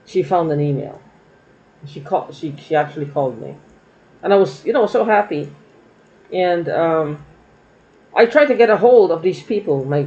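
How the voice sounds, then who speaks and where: A woman's voice: low voice, warm tone. Rambling aggrieved woman, muffled recording. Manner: rambling, aggrieved, repeats herself. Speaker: vlogger. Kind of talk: rambling monologue vlog. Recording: dull lo-fi recording.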